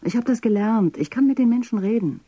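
A woman's voice: a little high, expressive, brisk, warm tone, slightly rough, no echo, dull audio. An actress playing a puzzled, self-doubting professional woman in a dystopian radio drama, musing as if talking to herself. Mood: worried, self-justifying. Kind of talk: intimate radio drama acting.

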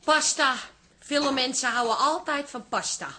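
A woman's voice: high-pitched, unhurried, pushed and bright. An actress in a radio comedy playing a down-to-earth, bossy pub woman with a matter-of-fact, familiar manner. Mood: brisk. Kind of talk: radio comedy drama acting.

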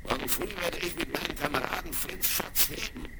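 An actor playing a cunning, scheming pirate leader who talks slyly and barks gruff orders, on a crackly vinyl record. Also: male voice, a little high, a little fast, close to an everyday voice. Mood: sly friendly. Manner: sly and wheedling, bursting into gruff commands. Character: cunning scheming male pirate cook, one-legged leader. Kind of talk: 1970s radio play acting. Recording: crackly vinyl record with loud surface noise.

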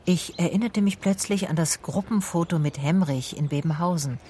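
An actress plays a female private detective in a radio drama. Her voice sits very low for a woman, and she is composed and reassuring, with a quiet persistence as she narrates and questions. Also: normal speed, close to an everyday voice. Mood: reflective.

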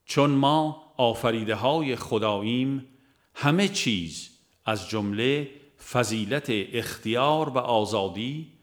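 A man reading a religious book aloud as scripted narration, in a measured, formal and solemn manner. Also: medium pitch. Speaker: audiobook reader.

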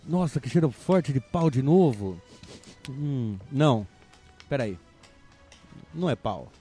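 A man plays a crude young animal sleuth who mutters his way through clues in an audio drama. The delivery is slow with wide swings in pitch, and the voice is on the dark, soft side. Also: husky. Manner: muttering to himself, pondering, sniffing out loud.

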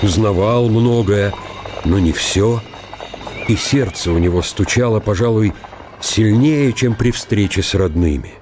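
A man narrating a historical story for a radio play, deliberate and broken into short phrases. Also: fairly low pitch, a little mellow, a little hiss or hum.

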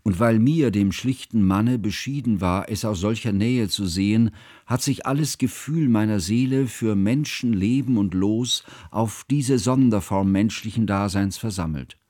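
An earnest literary narrator with a low voice, recorded very dry and close. He speaks in formal, precise sentences, as in a reflective radio play. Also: measured pace, a little mellow, no echo. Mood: solemn. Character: earnest, bookish male narrator recalling his friend's childhood.

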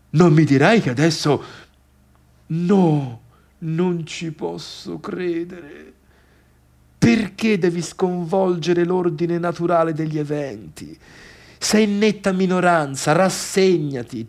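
An actor playing an agitated, obsessive misfit in a radio drama monologue, speaking theatrically with halting repetitions. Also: male voice, fairly high pitch.

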